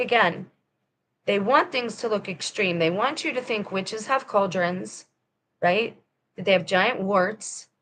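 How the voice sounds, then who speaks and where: A woman's voice: fairly high pitch, a bit bright, a little hiss or hum. A woman talking straight to her viewers in a casual, rambling monologue, firm and preachy in tone. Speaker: vlogger.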